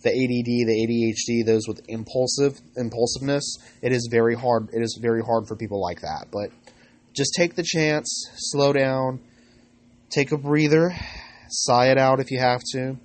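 A man hosting a podcast with informal advice, congested from a cold, speaking in a halting, rambling way and often correcting himself. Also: mid-range voice. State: recovering from a cold. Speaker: podcast host.

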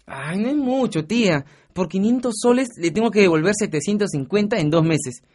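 An actor with a Peruvian accent playing a carefree, naive young mototaxi driver in a radio drama. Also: male voice, high voice, expressive, moderate pace, darkish tone. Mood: excited, carefree. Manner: breezy, casual.

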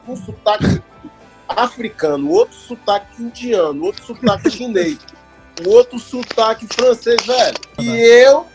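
A Brazilian man in his thirties telling stories in a casual chat, animated, laughing often and mimicking other voices. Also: very high-pitched, measured pace, faint music behind. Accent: Brazilian Portuguese. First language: Portuguese. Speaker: actor working as an extra.

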